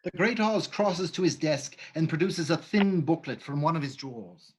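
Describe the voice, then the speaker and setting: A voice plainly reading stage directions at a medium pitch, even and unhurried. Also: slightly rough.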